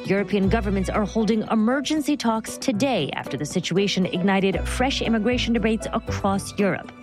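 A woman hosting a news program, narrating in a clear, conversational storytelling style. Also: medium pitch, animated, brisk, music in the background. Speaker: program host. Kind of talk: scripted radio narration.

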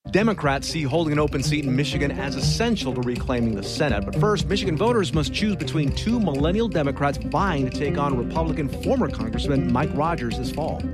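A man reading a radio program promo in a brisk, punchy style. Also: a little high, expressive, loud music behind the voice. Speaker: announcer.

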